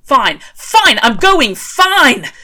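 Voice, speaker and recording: A woman's voice: high voice, big pitch swings, extremely slow, pushed and bright, pitched above the performer's own voice, exaggerated voice. An irritable demon serpent of a man, acted out by a woman reading a story, sardonic and grumbling. He exclaims and swears like someone fed up with everything. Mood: exasperated, snappish.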